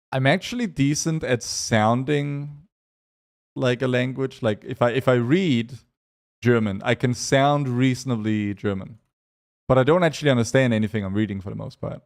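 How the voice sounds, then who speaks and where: Young danish guy streaming, light accent, loose chatty rambling, middle pitch voice. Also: moderate pace, darkish tone. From Denmark. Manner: chatty, loose, reads names off chat. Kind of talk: casual chat with live chat.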